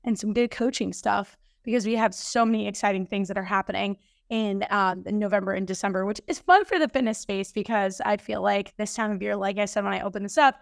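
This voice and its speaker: A woman hosting a fitness podcast, slightly hoarse, chatting upbeat about coming news. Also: mid-range voice, fairly quick, dry recording, clean studio sound. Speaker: podcast host and fitness coach. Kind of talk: casual solo podcast intro.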